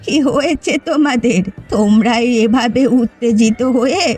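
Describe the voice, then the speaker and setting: A very high-pitched village housewife in a cartoon drama played by a woman. Her delivery is emphatic and theatrical, with faint music underneath. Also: unhurried, warm tone, slightly rough. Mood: worried, reproachful. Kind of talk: dramatic Bengali cartoon voice acting.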